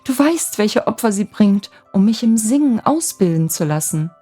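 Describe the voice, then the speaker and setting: A storybook young girl in love, played by a woman narrator, with a high, lively voice. She pleads coyly and a little breathlessly, teasing and tender yet easily flustered. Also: normal speed, husky, soft background music. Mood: anxious, pleading.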